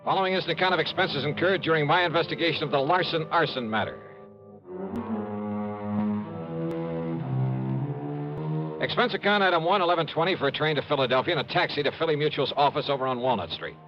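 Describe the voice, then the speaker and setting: An actor playing a brash, wisecracking American insurance investigator in a 1950s radio detective drama. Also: male voice, fairly high pitch, expressive, very fast, few pauses, faint music behind, natural voice. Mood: brisk narrating. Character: brash, wisecracking freelance male insurance investigator, tough and savvy. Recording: dull vintage radio recording.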